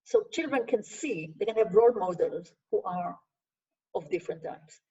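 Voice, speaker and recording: A woman's voice: medium pitch, expressive, normal speed, dark tone. An older woman, a Hebrew speaker and sociologist, answering questions earnestly in an online masterclass, stressing points with lists and frequent restarts. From Israel. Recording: distant, dull lo-fi video call audio.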